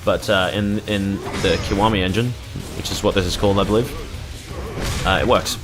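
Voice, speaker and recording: A man's voice: low voice, fairly even intonation, a little fast, music in the background. A young man casually commentating his gameplay, rambling and swearing freely as he reacts to the game. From Australia. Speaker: gaming YouTuber.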